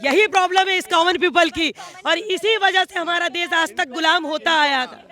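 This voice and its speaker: A woman's voice: very high voice, normal speed, sharp tone. A woman arguing insistently and with passion in a heated street debate. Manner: impassioned, argumentative, insistent. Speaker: member of the public debating.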